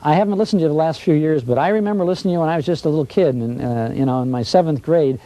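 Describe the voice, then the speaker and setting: A man's voice: on the high side, very fast, mellow, low-quality recording. A mature American man, a broadcaster, sharing anecdotes conversationally and acting out what others said to him. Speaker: radio broadcaster being interviewed. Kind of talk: conversational reminiscence.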